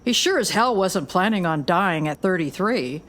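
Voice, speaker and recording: A woman's voice: on the low side, expressive, normal speed, a bit bright. An actress playing a tough, blunt American record label boss and worried mother, middle-aged, in an audio drama. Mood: curt, irritated.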